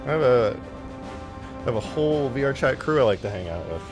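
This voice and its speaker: A man's voice: mid-range voice, lively intonation, brisk, dull audio, music in the background. A man livestreaming as a game modder, chatting casually in a rambling, jokey way as he answers viewers. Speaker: live streamer and game modder.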